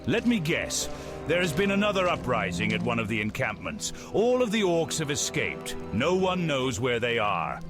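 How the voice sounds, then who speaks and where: A man's voice: medium pitch, sing-song, moderate pace, natural voice. A man playing a weary, sardonic human commander in a fantasy game. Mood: sardonic irritation. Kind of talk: dramatic fantasy game cutscene dialogue. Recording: music under the voice. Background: music.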